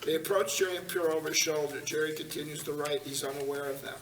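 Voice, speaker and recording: A man's voice: a little high, fairly even intonation, fast talker, a bit bright. A man narrating scripted stage directions at a table read in a large meeting room. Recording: echoey room, distant mic, hiss.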